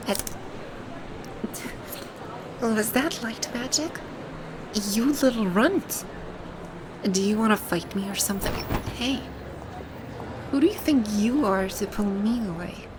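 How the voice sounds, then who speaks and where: A woman playing a haughty, grouchy video game villain boss with a secretly soft side, speaking in imperious, formal grumbles in an ASMR roleplay. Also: lowish voice, steady tone, a little slow, pauses often, noisy background. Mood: indignant. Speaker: audio roleplay voice actor.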